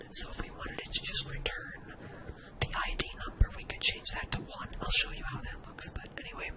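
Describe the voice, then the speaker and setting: A person speaks almost entirely in a breathy, unvoiced whisper. The delivery is that of a casual ASMR screen-share tutorial, thinking aloud along the way. The hushed sound stays soft and airy from phrase to phrase. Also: extremely high pitch, poor audio. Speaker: host of an ASMR spreadsheet tutorial.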